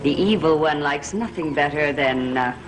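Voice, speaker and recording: A woman's voice: sits low, moderate pace, very noisy, lightly acted. An actress playing a stern, sardonic coven woman in a gothic soap opera, imperious and biting. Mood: brooding, reminiscing.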